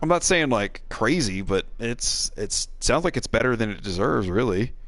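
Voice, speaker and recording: A man's voice: mid-range voice, animated, normal speed, fairly bright tone, no echo. A middle-aged man, a game streamer and co-host, chats casually while playing, in a rambling, wry, self-deprecating way.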